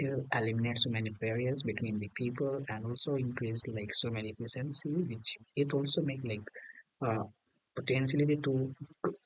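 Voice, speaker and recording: A man's voice: medium pitch, no echo, rough recording. A man with an Indian accent practicing English in a casual video call, hesitant and full of "like" fillers. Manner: hesitant, many "like" fillers, laughs easily.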